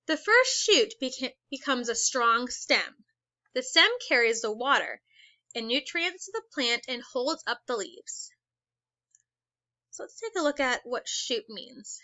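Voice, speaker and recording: A woman teacher reading a children's book aloud. She reads slowly and stops to ask the class questions. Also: high voice, sing-song, bright, forceful tone, dry recording, lo-fi sound. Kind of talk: read-aloud lesson for children.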